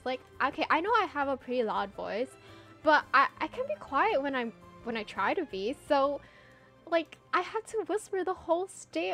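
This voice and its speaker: A young woman with a notably high voice chats animatedly with her viewers, laughing easily and letting sentences trail off. Faint game music sits under her talk, recorded on a home streaming mic. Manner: chatty and animated, laughs often, trails off into filler. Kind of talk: casual gameplay chat with chat readers.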